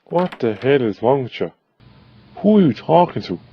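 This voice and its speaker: A man's voice: medium pitch, big pitch swings, moderate pace, dull audio, noisy background. A man playing a hostile, scornful middle-aged husband and father in an audio drama. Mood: irritated. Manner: snappy, scornful.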